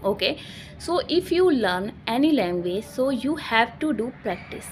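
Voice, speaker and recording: Young woman, slightly high voice, very slow and halting, earnest vlogger addressing her viewers. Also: very animated, slight background noise. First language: Hindi. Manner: earnest and halting, peppered with "okay". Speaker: English-learning channel host. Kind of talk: casual vlog talk to camera.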